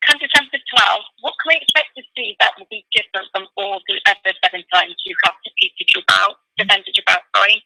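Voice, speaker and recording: Woman, reporter. Creaky, very bright voice, phoning in a prepared press question. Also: medium pitch, brisk, hardly any pauses, no room sound, low-quality recording. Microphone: phone. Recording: telephone conference line.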